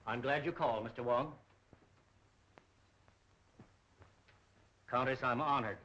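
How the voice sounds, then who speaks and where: Actor as a fast-talking polished businessman. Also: medium pitch, pushed and bright, slight background noise, lightly acted. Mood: polite. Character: defensive, brisk businessman, curt yet socially polished. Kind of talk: 1930s film acting. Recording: muffled 1930s film soundtrack.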